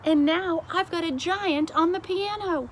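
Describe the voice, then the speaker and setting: A woman reader puts on a storybook queen, brisk and imperious. She speaks emphatically and deliberately, unflappable and used to being obeyed, over light background noise. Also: very high-pitched, voice pushed up. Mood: brisk, resolute.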